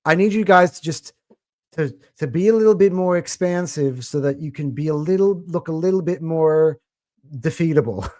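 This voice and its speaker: American man, animated podcast co-host. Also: a little high, normal speed, no echo, studio quality. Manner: animated, rambling with fillers. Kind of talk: casual sports podcast chat.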